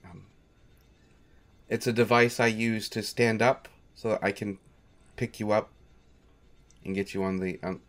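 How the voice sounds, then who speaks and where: A man's voice: fairly low pitch, fairly even intonation, unhurried, long pauses, fairly bright tone. A man playing a devoted, patient manservant in an audio roleplay, polite and dryly wry, with hesitant phrasing. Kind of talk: scripted audio roleplay, speaking directly to listener.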